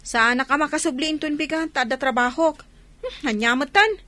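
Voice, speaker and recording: A woman's voice: sits high, moderate pace, sharp tone. An actress playing an anxious, nagging middle-aged mother in a radio drama, fretful and complaining. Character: anxious, nagging mother worn down by her children.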